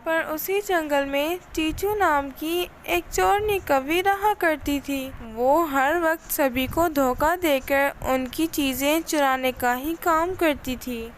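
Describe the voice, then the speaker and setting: A woman with a high voice narrates a children's story. She reads the script at a slow, unhurried pace, as the storyteller of a cartoon tale. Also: fairly bright tone, slight background noise.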